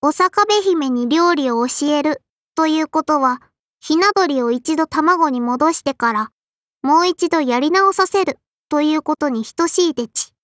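A woman's voice: high-pitched, measured pace, fairly bright tone, dry recording, clean studio sound. A woman voicing a small, strict and prim sparrow-spirit girl in an anime game, with a childlike lisp and polite but scolding speech. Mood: prim, critical. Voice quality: childlike, lisping. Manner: polite but scolding, quirky verbal tic. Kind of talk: anime game character voice lines.

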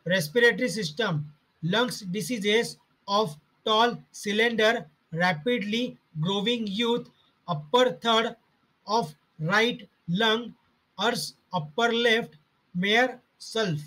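A man with a high, bright voice reads through medical study notes. He goes slowly and halting, breaking each list into short fragments with pauses between them. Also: rough recording. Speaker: homeopathy study narrator. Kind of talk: slow reading of study notes.

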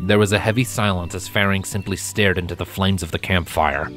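A man's voice: sits low, steady tone, fairly quick, music in the background. A man reading scripted fiction as an audiobook narrator.

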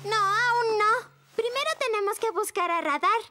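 A woman's voice: very high voice, normal speed, bright, forceful tone, faint music behind. An eager little cartoon girl. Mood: bright eager. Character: lively young girl, curious and cheeky. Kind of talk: Latin American Spanish cartoon dub.

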